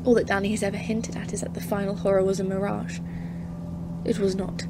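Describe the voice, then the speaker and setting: A woman's voice: mid-range voice, flat delivery, a little fast, lightly acted. A woman playing a grave, awed professor in an audio play, speaking formally and intensely as a literary horror narrator. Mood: grave, haunted. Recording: music under the voice. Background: dramatic music.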